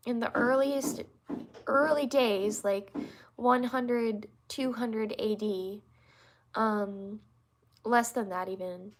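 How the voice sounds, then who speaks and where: Slow-talking congested young woman. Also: a little high. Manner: rambling, many restarts and fillers. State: congested from allergies. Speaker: livestream host answering viewers. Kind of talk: casual faith talk on a livestream.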